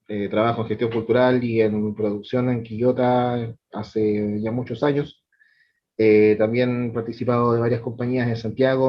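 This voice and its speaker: A man, actor and cultural producer, talking measuredly with filler pauses on a video call. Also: medium pitch. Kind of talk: casual conversation over video call. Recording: muffled lo-fi sound.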